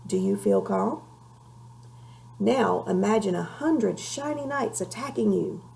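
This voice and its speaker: A woman's voice: mid-range voice, animated, measured pace, vocal fry, dark tone, slight background noise. A woman voicing a kindly, earnest male knight in a storybook, with an encouraging, explanatory manner.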